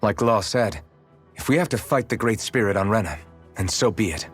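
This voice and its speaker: A man's voice: lowish voice, lively intonation, normal speed, husky, soft background music. An actor playing a resolute young swordsman hero in a video game, earnest and plain-spoken. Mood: earnest, determined. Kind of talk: scripted video game voice acting.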